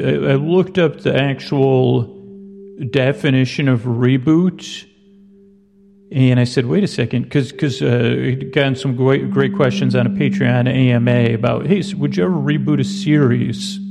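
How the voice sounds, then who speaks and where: A man hosting a sleep podcast with a gravelly voice, rambling casually and haltingly, often repeating his words. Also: mid-range voice, a little mellow. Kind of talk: rambling casual bedtime monologue. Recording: long reverb, clear ambient noise behind. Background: ambient sound design.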